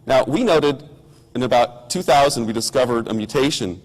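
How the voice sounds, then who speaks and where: A hoarse middle-aged man delivers a technical science lecture in a measured way, pausing on ums and restarting phrases. His voice sits at an ordinary pitch for a man. The sound carries the long reverb of a lecture hall. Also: expressive. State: hoarse from a cold.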